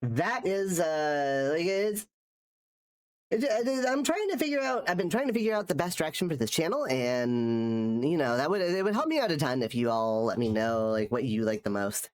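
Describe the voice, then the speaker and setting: A man's voice: on the high side, lively intonation, moderate pace. A man live-streaming while drawing, chatting casually and rambling, restarting his sentences, sounding nasal from a cold. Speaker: streamer and artist.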